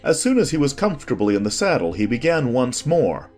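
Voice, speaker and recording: A man with a husky voice reading a scripted story as an expressive audiobook narrator. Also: mid-range voice, brisk. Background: faint music.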